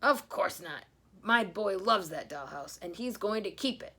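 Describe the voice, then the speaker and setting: A woman voicing a huge, gruff father bull from a storybook, stubborn and protective. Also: medium pitch, expressive, measured pace, sharp tone, heavily acted. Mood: gruff, indignant.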